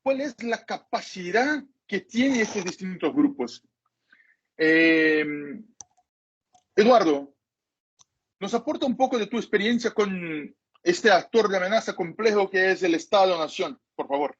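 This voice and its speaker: Husky man lecturing in halting Spanish. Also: sits high, lively intonation. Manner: hesitant, apologizes for his Spanish. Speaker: cybersecurity lecturer. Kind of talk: masterclass lecture. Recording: dull lo-fi recording.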